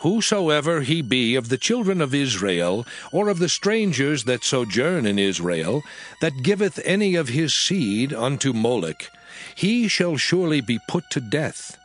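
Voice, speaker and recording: A man's voice: mid-range voice, very animated. Man acting a solemn divine lawgiver over music. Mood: stern, grave. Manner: solemn, measured, emphatic declamation. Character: God as solemn, commanding male lawgiver. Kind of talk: dramatized scripture reading in archaic English. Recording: music under the voice.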